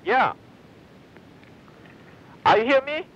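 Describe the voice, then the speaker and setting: A man who is not a native English speaker, selling over the phone in halting, broken English. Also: very high voice, big pitch swings. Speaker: salesman on the phone. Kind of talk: phone call. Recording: muffled lo-fi phone line.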